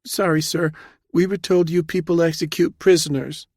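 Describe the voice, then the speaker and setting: Man voicing a frightened young woman captain, high and trembling. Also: steady tone, moderate pace, dry recording, studio quality. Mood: fearful, tearful. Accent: New Jersey. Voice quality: trembling, soft. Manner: hesitant, deferential. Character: frightened young female army captain, mid-twenties, meek and tearful.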